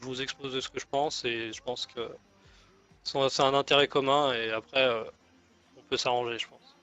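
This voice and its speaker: A man's voice: medium pitch, fairly even intonation, normal speed, bright, forceful tone, soft background music, close to an everyday voice. Man playing a hesitant gangster negotiator, muffled chat. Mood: hesitant, bargaining. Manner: hesitant, rambling, conciliatory. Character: deferential male gang negotiator offering an alliance. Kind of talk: improvised online roleplay dialogue.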